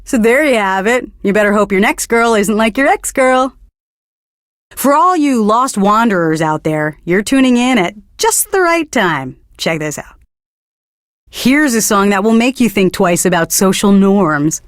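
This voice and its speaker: An actress playing a young, earnest and smugly pretentious hipster DJ in a video game, talking between songs. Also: female voice, medium pitch, lively intonation, a little slow, dry recording, studio quality, lightly acted. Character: pretentious young hipster indie radio DJ woman, earnest and smug. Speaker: radio DJ. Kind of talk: radio DJ patter between songs.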